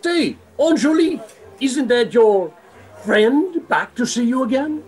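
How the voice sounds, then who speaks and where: A man playing a courteous, flattering nightclub regular in an amateur radio play. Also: extremely high pitch, measured pace, husky, heavily acted. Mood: gentle, curious. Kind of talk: hobby radio play acting.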